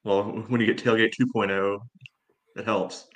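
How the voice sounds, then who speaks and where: Man, very deep voice, flat intonation, measured, hedging, filler-heavy, podcast co-host, casual sports talk. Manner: measured, hedging, peppered with "you know".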